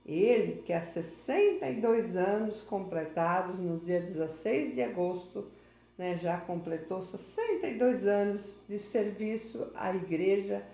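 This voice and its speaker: Lady asking questions, keeps saying his name, warm tone, roomy sound. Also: medium pitch, unhurried. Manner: prompting, repeats the guest's name often. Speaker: interviewer, local history host. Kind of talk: informal interview.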